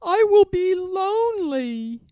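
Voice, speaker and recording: A woman voicing a proud but easily worried male toad in a children's storybook, with loud, dramatic cries. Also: very high-pitched, sing-song, takes it slowly, no echo, muffled recording, pitched above the performer's own voice, heavily acted. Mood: anxious, pleading. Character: boastful male toad turning anxious and lonely.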